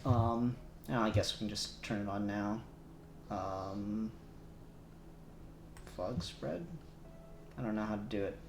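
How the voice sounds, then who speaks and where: A man's voice: on the low side, slow, pauses often, noisy background. A man co-hosting a casual livestream, muttering to himself and trailing off as he works. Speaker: livestream co-host. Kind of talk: casual livestream chat while working. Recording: echoey room.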